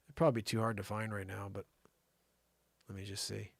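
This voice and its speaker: A man's voice: fairly low pitch, level tone, a little fast, gravelly, no echo. A man hosting a live show with casual, slangy, rambling comedic commentary, often thinking aloud. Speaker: live variety show host.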